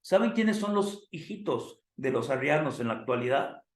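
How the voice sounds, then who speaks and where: A hoarse man teaching a theology class. He asks the students questions and calls them "amados" like a preacher would. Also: medium pitch, animated, moderate pace, echoey room. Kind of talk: classroom lecture with preacher cadence.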